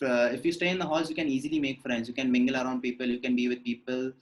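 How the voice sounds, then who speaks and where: A man's voice: mid-range voice, steady tone, fast talker. A young man from India with an Indian accent, answering interview questions politely and casually, often saying "like". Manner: polite, says "sir", fillers like "like". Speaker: student interviewee.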